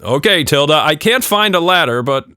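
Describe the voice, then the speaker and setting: A man's voice: fairly high pitch, lively intonation, normal speed, bright, forceful tone, clear, resonant tone, no room sound, clean studio sound, voice pushed up. A man playing a flustered, mildly skeptical father in a children's story, hesitant and indulgent. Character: flustered, mildly skeptical suburban dad of a young girl.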